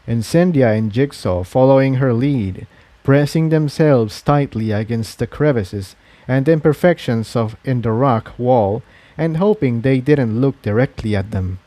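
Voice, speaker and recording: A man's voice: medium pitch, mellow, dry recording. A man reading a fantasy adventure story aloud as its narrator. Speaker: fan fiction narrator.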